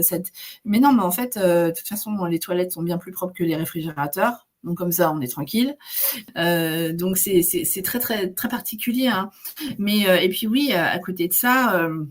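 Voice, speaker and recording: A woman's voice: mid-range voice, moderate pace. A woman in her forties telling her story in a casual interview, chatty with many fillers. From Netherlands. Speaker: guest, maternity carer.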